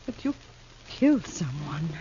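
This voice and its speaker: Gentle lonely frontier widow, compassionate, mellow tone, muffled old radio recording with noise. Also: female voice, deep voice, very animated, unhurried. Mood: sympathetic, sorrowful. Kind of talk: 1950s radio western acting.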